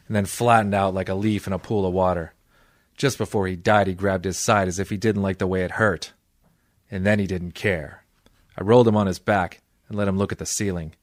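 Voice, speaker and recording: An actor playing a tough, cynical, wisecracking private detective in old-time radio style, deadpan and sardonic. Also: male voice, sits low, no echo, studio quality, lightly acted. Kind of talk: old-time radio detective acting with first-person narration.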